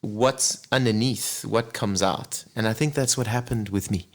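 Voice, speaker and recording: A man, a singer talking as a guest in a relaxed, reflective interview. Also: lowish voice, unhurried. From Zimbabwe. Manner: reflective, earnest, many "you know" asides. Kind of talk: casual interview chat.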